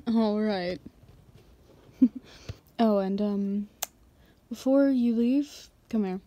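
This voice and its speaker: A woman plays a self-assured female boss in an intimate ASMR roleplay. She speaks slowly in a dark, soft-edged tone. Her delivery is controlled and teasing, as she toys with an employee. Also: medium pitch, muffled recording. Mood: teasing, seductive.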